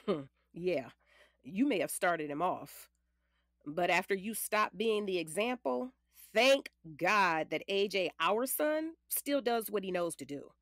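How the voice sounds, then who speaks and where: A woman playing a hurt, fierce ex-wife and lawyer with steadfast faith in an audio drama, speaking emphatically and deliberately. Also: medium pitch, expressive, fairly bright tone, no room sound, studio quality. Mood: restrained, cool.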